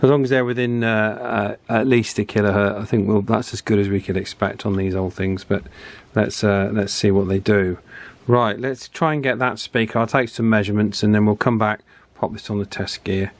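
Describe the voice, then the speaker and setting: A man with a fairly low voice hosts a hands-on repair video. He talks casually and without a script as he works, chatting to the viewer and often tacking little tag questions onto his remarks. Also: steady tone, normal speed. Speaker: host of an electronics repair channel. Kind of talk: casual hands-on repair commentary, talking while working.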